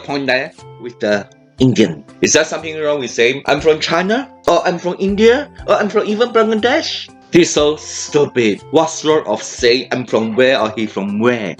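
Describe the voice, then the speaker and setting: A man hosting an opinionated commentary video, ranting in an indignant, sarcastic way. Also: medium pitch. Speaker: commentary host.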